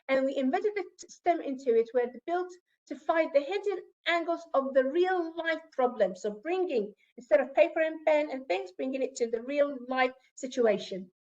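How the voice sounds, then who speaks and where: A woman's voice: high-pitched, warm tone, lo-fi sound. A woman whose first language is Arabic, giving an academic conference presentation in English and explaining with asides to the audience.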